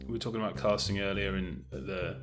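An Englishman talks in a low-pitched voice as a guest in an interview. He is thoughtful and a little hesitant, qualifying his points in loose, conversational phrases. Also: fast talker, over music. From Bristol, England. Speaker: guest, audio drama director.

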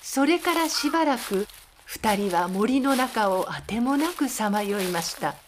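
A woman voicing a calm storyteller, narrating a scripted Japanese audio drama. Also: fairly high pitch, measured pace, a little hiss or hum. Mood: calm narration.

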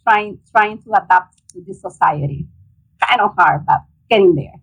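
A woman's voice: mid-range voice, normal speed, creaky, slightly rough, low-quality recording. Salvadoran-accented woman in her forties, hesitant. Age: 40s. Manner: earnest, hesitant with frequent ums. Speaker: guest, nonprofit director. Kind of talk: interview answers.

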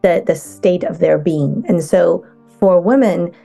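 A woman speaking as a guest coach in a casual interview, talking in halting bursts with many restarts. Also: lowish voice, gravelly, mellow, soft background music.

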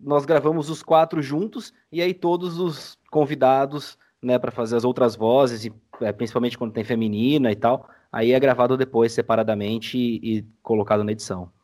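Mid-pitched Brazilian man, casual guest. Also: fairly even intonation, moderate pace, hardly any pauses, darkish tone. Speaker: podcast guest, audio drama maker. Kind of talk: casual explanatory interview.

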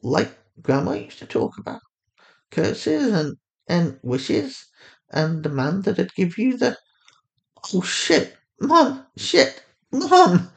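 A man voicing a tearful, frightened teenage girl in a story, croaky and tentative. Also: sits high, big pitch swings, slow, pitched above the performer's own voice, heavily acted. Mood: rising panic. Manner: croaky, tentative, easily overwhelmed.

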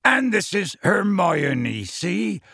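A man voicing a big, bumbling, soft-hearted half-giant gamekeeper in an audiobook, with hearty, folksy, coaxing speech. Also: high-pitched, very animated, takes it slowly, gravelly, husky, dry recording, clean studio sound, pitched above the performer's own voice, exaggerated voice. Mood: eager, hearty. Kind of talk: audiobook character voice.

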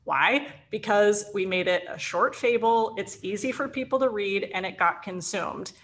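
A woman's voice: medium pitch, moderate pace, fairly bright tone, a little hiss or hum. A woman giving advice in a casual conversation as an expert guest, emphatic and often answering her own questions. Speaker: guest, sales author and publisher giving advice.

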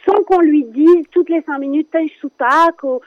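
A French woman with a French accent, a children's singer chatting as a radio guest, lively and quick to laugh. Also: high voice, dry recording, phone-quality sound. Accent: French with Alsatian touch. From France. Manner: animated, laughs often, many fillers. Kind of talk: casual radio interview chat.

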